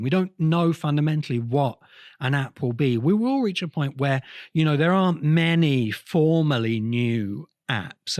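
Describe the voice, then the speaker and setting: A man hosting a podcast, delivering an explanatory cultural history monologue with emphatic, halting phrasing. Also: fairly high pitch, no room sound, studio quality. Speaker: podcast host.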